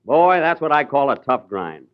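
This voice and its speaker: A man's voice: mid-range voice, very animated, normal speed. A man hosting a 1940s radio comedy show, with wry, indignant comic timing. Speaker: comedian host. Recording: dull old radio broadcast recording. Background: studio audience laughter.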